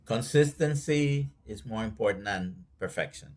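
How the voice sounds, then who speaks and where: A man's voice: on the low side, lively intonation, normal speed, darkish tone. A man from Puerto Rico hosts the interview over a video call. He asks his questions in an easy, conversational tone. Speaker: host doctor.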